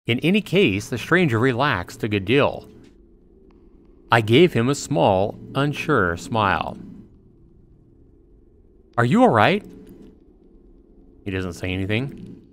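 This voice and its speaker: A man's voice: medium pitch, lively intonation, a little slow, fairly bright tone, a little hiss or hum. A man livestreaming, reading a visual novel aloud in narration mixed with casual asides. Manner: acts out dialogue between narration and reactions.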